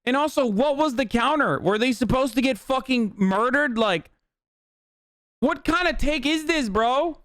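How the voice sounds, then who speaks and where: Man, first language Turkish, streamer, heated profane rant, high voice, clear tone. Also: animated, a bit bright, no echo, clean studio sound. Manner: profane, heated, rapid rants. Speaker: Twitch streamer. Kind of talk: live-stream reaction commentary.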